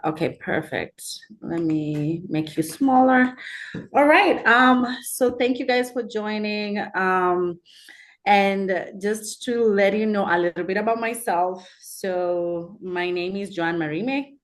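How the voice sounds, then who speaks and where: A woman's voice: medium pitch, takes it slowly. A woman from Kenya giving a candid, conversational talk to a webinar audience over a video call, with many fillers. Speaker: webinar speaker. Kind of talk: casual presentation.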